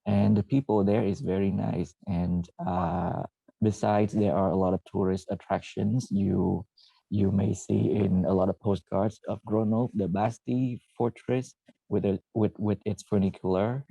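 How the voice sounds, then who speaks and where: Man from Vietnam, very low gravelly voice, mellow dark tone, presentation, halting with fillers. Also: flat delivery, no echo, poor audio. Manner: halting, frequent "uh" and self-corrections. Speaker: former PhD student presenting. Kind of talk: presentation to students.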